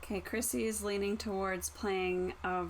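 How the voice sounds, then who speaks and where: A woman's voice: mid-range voice, level tone, moderate pace, slight background noise. A woman chatting casually as a player in a tabletop game. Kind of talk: casual chat.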